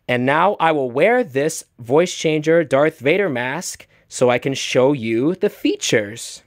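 A man's voice: a little high, lively intonation, takes it slowly, no echo, studio quality. A man giving a chatty show-and-tell product review, enthusiastic and fond of repeating emphatic phrases. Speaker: toy reviewer.

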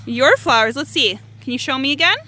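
A woman's voice: sits high, lively intonation, a little fast, pushed and bright. A woman talking casually with a young child as a mother filming outdoors, asking encouraging questions and repeating the child's words back. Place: outdoor backyard garden. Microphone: handheld phone camera held by the speaker. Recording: outdoor phone recording, faint background noise.

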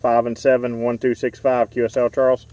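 A man in his forties working a ham radio from a park, reading call signs and signal reports into a handheld mic in quick, repetitive bursts. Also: mid-range voice, monotone, warm tone, dry recording, distant mic, soft background music. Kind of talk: rapid radio contact exchange. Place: outdoors in a park. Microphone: handheld radio microphone.

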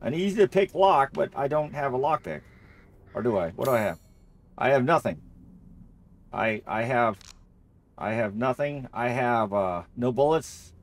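Male gamer for casual playthrough commentary: lively swooping pitch, fry in the voice, jokey and rambling. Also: measured pace, long pauses. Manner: rambling, jokey, repeats himself.

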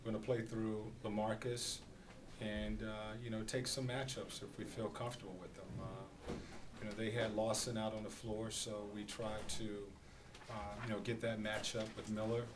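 A middle-aged man coaching basketball speaks at a podium after a game, his voice low and fairly flat. He talks in a measured, halting way, padding with fillers. The sound comes from a camera a few steps away, with a noisy room hum behind. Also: distant mic. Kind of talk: postgame press conference. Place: small press room at a podium. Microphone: podium microphone on a stand.

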